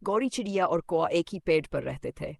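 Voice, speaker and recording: Kids story narrator, mid pitch, kinda creaky, really dry recording. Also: expressive, brisk, darkish tone, no echo. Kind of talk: scripted children's story narration.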